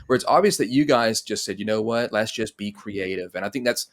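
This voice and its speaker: Casual low-voiced man hosting an interview. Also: fairly quick. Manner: rambling, thinking aloud, informal. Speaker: podcast host.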